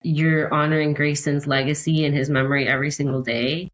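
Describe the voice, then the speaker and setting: A woman's voice: low-pitched, flat delivery, fairly quick, lo-fi sound. An American woman speaking as an advocate and interview guest, explaining things in a conversational way. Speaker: podcast guest, court-watch advocate.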